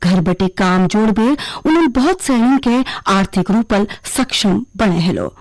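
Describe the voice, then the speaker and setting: A woman's voice: mid-range voice, animated, a little mellow, no room sound. Kumaoni woman reading radio news, dry studio sound. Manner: formal, measured reading. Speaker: regional radio news reader. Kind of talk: scripted news bulletin.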